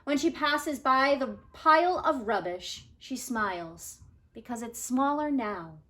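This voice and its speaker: A woman's voice: high voice, animated, slow pace, fairly bright tone. A woman teacher reading a children's story aloud expressively, with careful, dramatic phrasing and emphasis.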